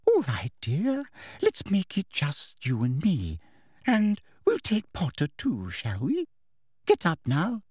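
A man's voice: on the high side, wildly swooping pitch, slow, darkish tone, breathy edge, no room sound, clean studio sound, pitched above the performer's own voice, heavily acted. A man voicing a domineering, greedy older woman official with an imperious, eager way of questioning. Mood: falsely sweet. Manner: imperious, harsh, eager interrogation.

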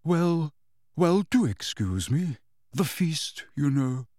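A man voicing a melancholy, gentle ghost of a wizard in a storybook reading, speaking slowly and hesitantly in formal phrases. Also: fairly high pitch, very animated, no room sound, clean studio sound, pitched above the performer's own voice, full character voice. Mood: gentle, sad.

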